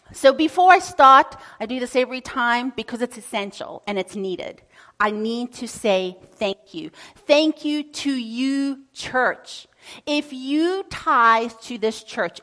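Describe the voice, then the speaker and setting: A woman missionary with a booming voice, speaking upbeat to a church congregation and thanking them warmly.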